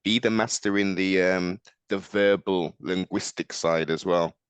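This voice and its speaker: A man's voice: low-pitched, steady tone, measured pace, smooth, dry recording. A man hosting a conversational sports interview with theatrical, formal flourishes and an encouraging way of talking. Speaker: sports show host.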